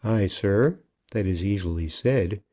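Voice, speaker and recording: A man's voice: lowish voice, a little slow, dark tone, close to an everyday voice. A man voicing a pompous, earnest junior business partner, formal and insistent, in an audiobook. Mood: earnest, demanding. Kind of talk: audiobook character acting.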